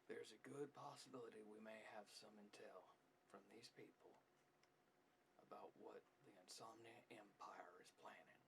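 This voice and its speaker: Man playing a gravelly, fatherly army sergeant. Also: on the low side. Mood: hushed, confiding. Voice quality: gravelly, often dropping to a whisper. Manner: drawling folksy talk, calls listener kid and son. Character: gruff, folksy, fatherly male army sergeant. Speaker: ASMR roleplay performer. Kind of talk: soft-spoken military ASMR roleplay. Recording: distant mic, noisy ambient background.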